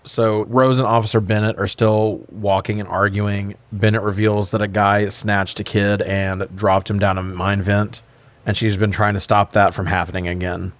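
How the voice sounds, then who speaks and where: Guy w a lower voice doing a casual movie recap podcast, slangy, swears a lot, kinda wry. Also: flat delivery, normal speed. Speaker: podcast co-host recapping a film. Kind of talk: casual comedic film recap chat.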